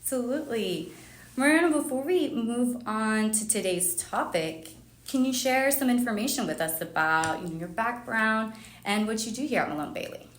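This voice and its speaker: A woman's voice: on the high side, animated, normal speed. A woman hosting a business podcast, reading introductions and questions in a formal, welcoming presenter's manner. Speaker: podcast host. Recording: roomy echo, faint background noise.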